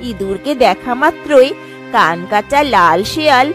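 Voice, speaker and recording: A woman's voice: medium pitch, animated, measured pace, over music. A woman narrator reading a scripted animal fable for a cartoon.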